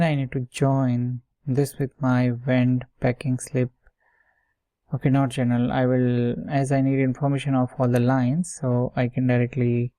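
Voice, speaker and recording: A man gives an informal software walkthrough in a mellow voice with little movement in pitch. He goes step by step, haltingly, checking himself as he works. The sound is dry and close. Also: no echo. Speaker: software tutorial instructor. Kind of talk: informal screen-share coding tutorial, thinking aloud.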